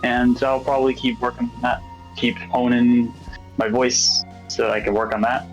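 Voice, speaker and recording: A man's voice: mid-range voice, level tone, normal speed. A man chatting casually as a guest voice actor in an interview. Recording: slightly dull online call, music behind.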